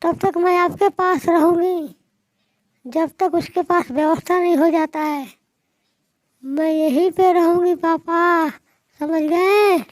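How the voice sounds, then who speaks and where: A woman plays a demanding young cartoon wife with an extremely high, childlike pitch. She complains and insists stubbornly, speaking slowly in a dry recording. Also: fairly even intonation, no echo. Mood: complaining, stubborn. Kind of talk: moral-story cartoon dialogue.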